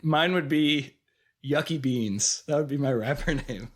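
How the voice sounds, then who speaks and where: Podcast co-host, thirties, voice worn by a cold, rambling and laughing easily. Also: male voice, on the high side, a little slow, fairly bright tone. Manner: rambling, self-correcting, laughs often. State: has a cold, losing his voice. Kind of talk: casual chat.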